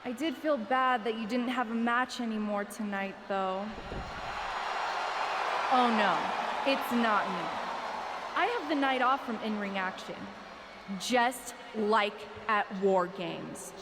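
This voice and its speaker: Smug, slow-talking American female wrestler. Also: on the high side, very noisy. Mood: mock-sincere, taunting. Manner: sarcastic, drawn-out mock sincerity. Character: smug scheming female wrestler, mock-innocent and taunting. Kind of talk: video game cutscene acting, wrestling promo.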